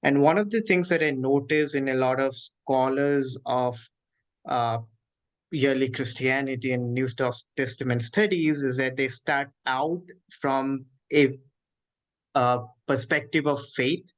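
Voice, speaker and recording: Indian-accented man, halting and formal interviewer. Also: medium pitch, steady tone. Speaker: interviewer, host.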